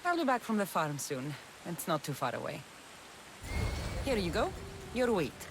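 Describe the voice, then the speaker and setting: An actress playing a weary but devoted warrior mother in a video game, wry and earnest in a measured way. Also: lowish voice, huge pitch swings, noisy background. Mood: tired, dry. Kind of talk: dramatic video game acting.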